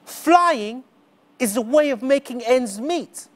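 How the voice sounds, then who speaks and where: A man's voice: lively intonation, heavily acted. A man on stage playing a lonely young boy who begs to be a sidekick, speaking earnestly in a theatrical spoken-word monologue. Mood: eager. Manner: earnest, slow and deliberate, rising pitch on key words. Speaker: stage performer. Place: dark smoky theatre stage.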